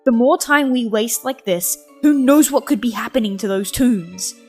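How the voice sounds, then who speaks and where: A woman's voice: on the high side, moderate pace, darkish tone, husky, soft background music, voice pushed up. A woman voicing a sensible, exasperated male cartoon gremlin who reasons and scolds. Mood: annoyed.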